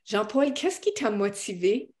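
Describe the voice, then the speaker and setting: A woman interviewing guests for a church broadcast, asking questions gently with hesitation fillers. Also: medium pitch, big pitch swings, measured pace.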